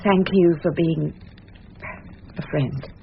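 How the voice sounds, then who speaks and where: A woman's voice: low voice, slow, creaky, full character voice. An actress playing a troubled woman in a radio drama, hesitant and defensive under questioning. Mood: grateful. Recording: dull, hissy radio drama recording.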